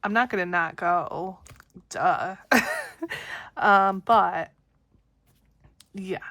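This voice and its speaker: A woman's voice: mid-range voice, expressive, drawn-out words, fairly bright tone, no room sound. A woman in her thirties with a stuffy nose, chatting casually to camera and counting cash aloud. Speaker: budgeting vlogger.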